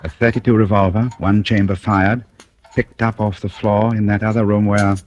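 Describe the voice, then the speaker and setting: A noisy vintage radio thriller recording carries a low, suave voice of a male actor. He is a cunning gentleman who speaks in measured, ironically polite phrases, mocking and coolly menacing throughout. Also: level tone, natural voice. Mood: controlled, businesslike. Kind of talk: 1940s radio thriller acting.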